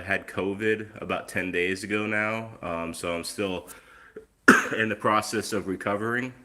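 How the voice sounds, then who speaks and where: A man's voice: fairly low pitch, bright, forceful tone. A man hosting a casual live stream on his phone, chatty and easygoing, with a lingering cough that leaves his voice a little off. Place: outdoors, then indoors at home.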